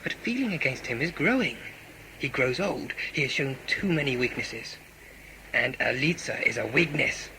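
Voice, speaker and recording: A man's voice: mid-range voice, expressive, normal speed, pushed and bright. Guy playing cold scheming plotter in a sci-fi audio play, intense, persuasive, mid voice, noisy recording. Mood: scheming, urgent. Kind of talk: sci-fi audio drama acting.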